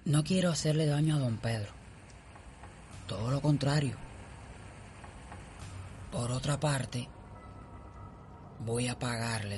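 A Cuban actor playing a calculating, persuasive colonial gentleman in a radio drama, with a formal, insistent manner. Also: mid-range voice, a little hiss or hum.

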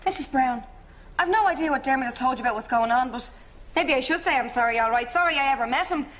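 A woman's voice: high-pitched, animated, fast talker, a bit bright. A woman playing a fed-up young girlfriend in a sitcom, curt, sarcastic and indignant. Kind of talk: stage-like sitcom acting.

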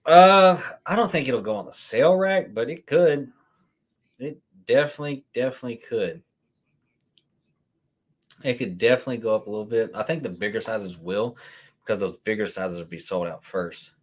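A man's voice: medium pitch, normal speed. A man, hosting a sneaker livestream and chatting casually as he answers viewer comments. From Kentucky. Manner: relaxed, chatty, answers chat questions on the fly. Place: room with shelves of sneakers, indoors.

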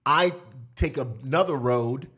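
A middle-aged man casually telling animated stories as a podcast guest, in a small studio on a boom mic. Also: slow pace, slightly rough. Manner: animated, gestures a lot while telling prison stories. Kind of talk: casual storytelling. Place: small studio with foam panels. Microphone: boom mic on table.

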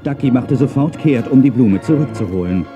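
A man's voice: medium pitch, lively intonation, a little fast, highs cut off. A man narrating a children's audio drama in a dramatic storytelling manner. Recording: music behind the voice. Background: music.

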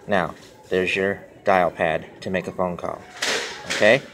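A man explaining something step by step in plain, halting, repetitive short phrases, like a simple tutorial. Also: sits low, fairly even intonation. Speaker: tutorial presenter. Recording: noisy handheld phone recording with clicks.

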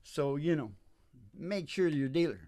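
A man's voice: a little high, expressive, a little slow, dark tone, dry recording. A man in his sixties hosting a show in a folksy, rambling, chuckling way, talking casually into a close microphone. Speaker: host, country singer. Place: small curtained studio. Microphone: stand microphone up close. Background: acoustic guitar.

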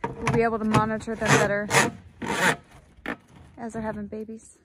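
Young woman, fairly high voice, chatty farm vlog narration, phone mic outdoors, clear wind and ambient noise. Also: completely flat delivery, moderate pace. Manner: chatty, explaining farm work as she films. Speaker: farm vlogger.

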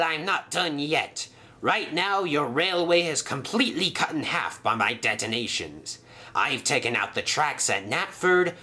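A man voicing a smug, scheming male sailor villain who speaks smoothly and gloats. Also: mid-range voice, pushed and bright.

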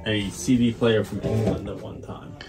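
A man's voice: fairly low pitch, level tone, moderate pace, over music. An older man, a repair technician, chatting casually as he works in a small workshop. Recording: clear background noise, workshop clicks.

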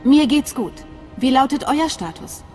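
A woman's voice: high voice, expressive, measured pace, darkish tone. Cool female spy, music behind. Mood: confident. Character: cool, self-assured female alien imperial spy. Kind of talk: dramatic video game acting.

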